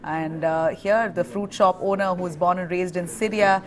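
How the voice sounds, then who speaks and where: A woman with an Indian accent reading a live news bulletin as anchor, in a clear, firm delivery. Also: on the low side, steady tone, moderate pace.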